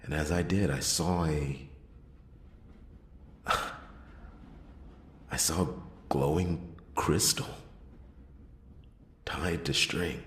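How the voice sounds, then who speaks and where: Casting: male actor as brooding noir private eye, extremely low register, slow hushed ASMR narration, breathy near-whisper. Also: reverberant, slight background noise, exaggerated voice. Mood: hushed wonder. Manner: slow, halting, dramatic pauses. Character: brooding male noir detective recounting a murder case. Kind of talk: noir ASMR roleplay, first-person narration.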